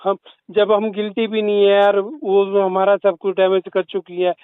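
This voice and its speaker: A man's voice: high-pitched, monotone, moderate pace, clear, resonant tone, no room sound. A man on a phone call, arguing his side firmly and insistently, repeating his points. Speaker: caller in a leaked phone call. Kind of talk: phone conversation. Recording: tinny phone line.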